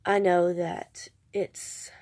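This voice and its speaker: A woman's voice: on the low side, level tone, drawn-out words, darkish tone. A woman with a stuffed-up, congested voice, chatting casually in a vlog and rambling with self-deprecating restarts. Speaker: vlogger.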